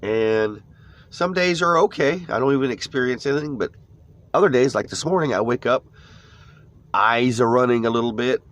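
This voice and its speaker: A man's voice: medium pitch, lively intonation, normal speed, fairly bright tone. Stuffy, laid-back man chatting. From United States. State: stuffy nose. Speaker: live stream host. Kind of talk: casual morning chat. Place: inside an old camper van. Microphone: phone.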